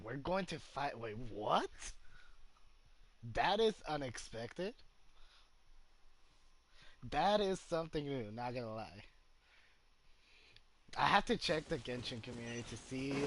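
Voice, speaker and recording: A man's voice: medium pitch, sing-song, unhurried, pauses often, fairly bright tone. A man giving casual, offhand live stream commentary on a game, partly muttering to himself. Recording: noisy live stream microphone.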